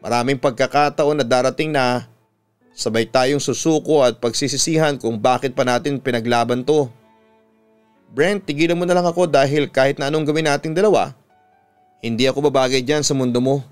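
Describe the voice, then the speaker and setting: A man's voice: mid-range voice, brisk, clear, resonant tone, soft background music, natural voice. A Filipino man voicing an anguished, self-doubting woman who pleads emotionally, as in a radio drama. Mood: firm, pained.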